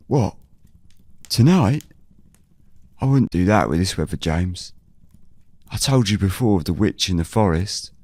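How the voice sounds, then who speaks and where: A genial older countryman played by a male actor in an audio drama, heard close and dry. His low voice is folksy and unhurried, chatting cautiously like a local who knows the land. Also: lively intonation, darkish tone, no echo. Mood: concerned. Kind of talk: audio drama character dialogue.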